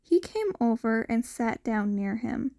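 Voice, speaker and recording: High-voiced woman reading fiction, unhurried. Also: sing-song, dark tone. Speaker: podfic narrator. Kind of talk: scripted fiction narration.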